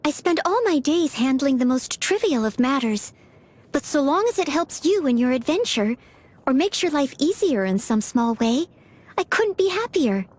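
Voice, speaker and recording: A woman's voice: high voice, very animated, normal speed, a little mellow. A woman voicing a polite, self-effacing young maid and aspiring knight in a video game, eager to please. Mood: humble, devoted. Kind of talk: video game character voice lines.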